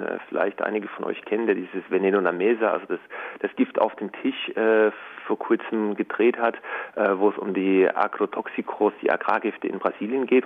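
A man being interviewed, explaining at length in an informal, halting way with many hesitation sounds. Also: lowish voice, creaky. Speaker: interview guest from a Latin America news collective. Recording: tinny, narrow phone-like line.

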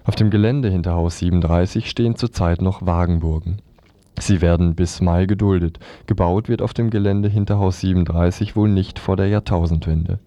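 A man's voice: deep voice, steady tone, brisk, dark tone, no echo, dull audio. A man presenting scripted news on the radio.

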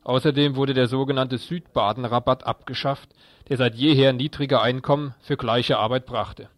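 Guy reading a scripted radio news report, mid pitch, really dry close sound. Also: no echo.

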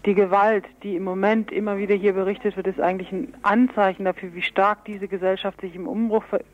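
A dull, band-limited radio recording carries a woman's medium-pitched voice. She is an interview guest from an aid organisation, giving explanatory answers. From Berlin, Germany.

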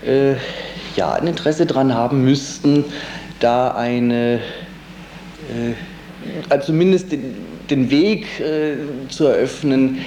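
A man being interviewed on the radio as a political organizer, speaking spontaneously and haltingly, often searching for words. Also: mid-range voice, dark tone. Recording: roomy, echoey, faint background noise.

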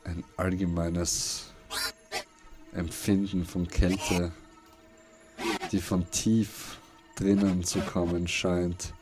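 Slow, deep-voiced male narrator. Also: little pitch movement. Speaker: radio play narrator. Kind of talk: scripted literary narration of a dystopian radio play. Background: prominent music behind the voice.

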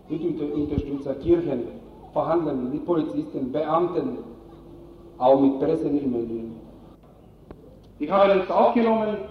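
A man speaking as an activist spokesman in non-native German, tired, making an impassioned speech in halting, emphatic short phrases. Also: fairly high pitch. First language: not German. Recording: echoey, distant, dull rally recording.

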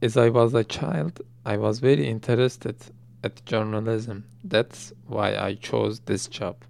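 A man interprets in a slow, deliberate way. His voice is gravelly with vocal fry, yet smooth and resonant. His pitch is middling. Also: fairly even intonation, darkish tone. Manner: measured, deliberate delivery. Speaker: interpreter giving English summary. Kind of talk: translated summary.

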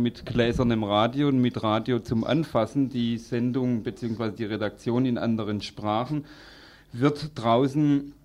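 A man being interviewed informally in a radio studio as an event organiser, halting as he searches for words. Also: mid-range voice, darkish tone, dull audio.

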